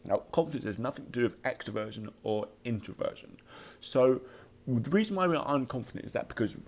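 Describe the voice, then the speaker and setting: A man giving an informal motivational talk as a podcast host, with a slight occasional stutter and a halting, emphatic delivery that repeats words. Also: medium pitch, expressive.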